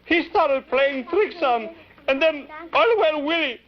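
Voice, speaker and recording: A man's voice: very high-pitched, expressive, slow, heavily acted. A man voicing a friendly, grateful puppet with a put-on Spanish accent on an old children's television show. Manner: exclaiming, peppering talk with Spanish words. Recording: dull vintage television audio.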